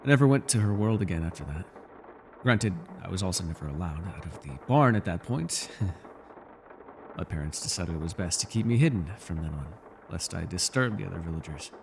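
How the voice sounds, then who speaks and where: A man acting as an ancient elf with a low voice, in an intimate fantasy ASMR roleplay. He is wistful and measured, with a gently teasing streak. There is noticeable noise behind the voice. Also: lively intonation, gravelly. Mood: somber, confiding. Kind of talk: ASMR character roleplay, intimate scripted monologue.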